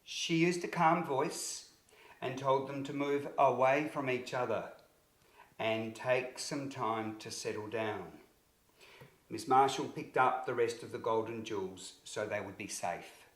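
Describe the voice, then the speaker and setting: A man reads a children's story in a medium-pitched voice, taking it very slowly. His delivery is expressive and deliberate, with emphasis meant for young listeners. The recording is roomy and echoing. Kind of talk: scripted children's story narration.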